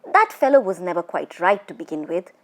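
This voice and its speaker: A woman's voice: on the low side, big pitch swings, fairly quick. Woman voicing a brave, scoffing village man. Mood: calm, dismissive. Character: brave, dismissive village man, skeptical of ghosts. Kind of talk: children's storybook character voice.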